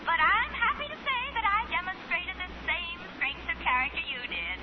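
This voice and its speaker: A woman's voice: very high-pitched, very animated, moderate pace, a crowd in the background. A woman playing a chatty, stubborn, opinionated mother of a grown daughter in a 1940s radio sitcom. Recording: muffled, noisy 1940s radio recording.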